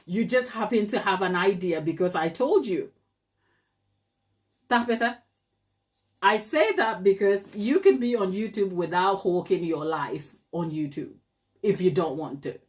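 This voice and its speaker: A woman with a Ghanaian accent speaks straight to her live-stream viewers, firm and emphatic. She asks a lot of rhetorical questions and has a medium-pitched voice. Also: expressive, a little slow. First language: not English. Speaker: cake maker and channel host.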